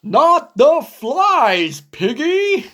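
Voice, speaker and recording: A woman voicing a big, gentle, worried elephant in a storybook read-aloud, speaking low and carefully. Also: big pitch swings, very slow, a little hiss or hum, heavily acted. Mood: fretful.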